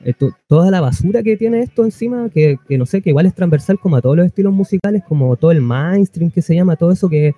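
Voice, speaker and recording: A man with a Chilean accent talking as a musician guest in a casual interview, halting and colloquial. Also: high-pitched, animated, dark tone. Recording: dull lo-fi sound with music behind.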